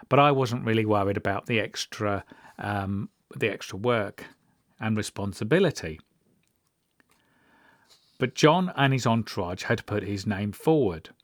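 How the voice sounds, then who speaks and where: A man's voice: mid-range voice, dry recording, studio quality. A mature man hosting a podcast, narrating memories from his own life in a personal, storytelling way. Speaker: podcast host.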